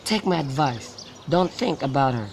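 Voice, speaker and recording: A man's voice: on the high side, expressive, normal speed, creaky. A young man playing a concerned, sensible cousin in an amateur radio drama of modern-English Shakespeare, with birdsong behind him. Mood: advising. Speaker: student actor. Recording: faint background noise with outdoor birdsong.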